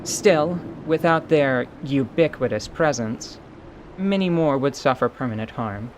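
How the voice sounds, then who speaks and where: Stoic veteran mercenary for fantasy audio drama male actor, mid pitch, dry, formal, matter-of-fact, noisy backdrop. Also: lively intonation, lightly acted. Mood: stoic, explanatory. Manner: dry, formal, deliberate speech. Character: battle-worn winged mercenary, stoic, wry and matter-of-fact.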